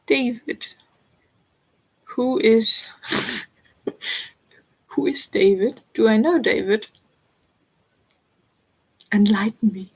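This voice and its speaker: A woman's voice: a little high, very slow, long pauses, husky, low-quality recording. A young woman in her twenties with a German accent, hosting a live stitching stream and chatting to viewers about their comments, giggling often. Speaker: stitching channel host.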